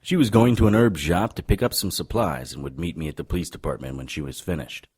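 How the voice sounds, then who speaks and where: An actor playing a wry, hard-boiled supernatural private detective in an audio drama, deadpan and sarcastic with a raspy voice. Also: male voice, low-pitched, quick pace. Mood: wry, brooding. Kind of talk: audio drama dialogue and noir narration.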